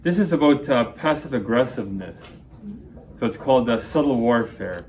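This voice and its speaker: A man's voice: medium pitch, animated, moderate pace, a little mellow, slight background noise. A man reciting his own rhyming spoken-word poems as an amateur poet at a reading.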